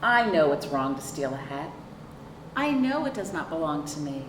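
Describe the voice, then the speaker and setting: Animated middle-aged woman at storytime. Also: low-pitched. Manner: expressive, drawn-out emphasis and questions to young listeners. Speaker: children's librarian hosting storytime. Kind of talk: picture-book read-aloud with chatty asides to children. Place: small indoor room, seated on a couch. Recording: roomy, distant mic with clear background noise.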